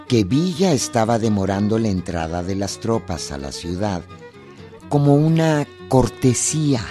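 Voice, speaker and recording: Low, mellow male narrator. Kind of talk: scripted historical narration for radio drama.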